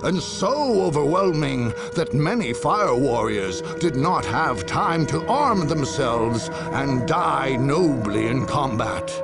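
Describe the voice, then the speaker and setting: An actor narrating a game cutscene as a grave chronicler of war, theatrical, measured and ominous. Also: male voice, on the high side, vocal fry, full character voice. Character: grave male chronicler of a grim war saga.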